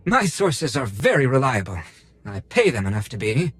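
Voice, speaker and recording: A man's voice: mid-range voice, big pitch swings, normal speed, husky, dry recording, soft background music. A man playing a fussy, pompous and nervous collector of rare droids in a theatrical video game style. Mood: scheming, pompous. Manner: pompous, theatrical, flustered under pressure. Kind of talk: video game cutscene acting.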